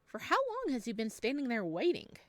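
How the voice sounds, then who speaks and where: A woman hosting a let's play, reading game text aloud and chatting to viewers with bubbly, expressive reactions. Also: a little high, brisk, dry recording. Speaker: gaming video host.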